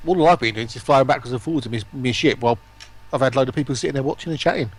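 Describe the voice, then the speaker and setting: A man's voice: mid-range voice, racing, husky. A man chatting casually and playfully as a guest on a stream, often chiming in. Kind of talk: casual chat.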